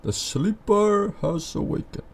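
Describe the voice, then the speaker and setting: Male streamer, unhurried, creaky fry, casual commentary, thinking aloud. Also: high voice. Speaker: streamer reacting to a video.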